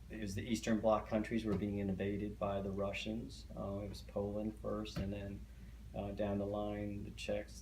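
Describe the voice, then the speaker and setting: Middle-aged man, first language Hungarian, low monotone voice, halting with frequent fillers, echoey room with faint hiss. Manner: hesitant, many fillers and restarts. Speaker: interviewee. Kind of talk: oral history interview.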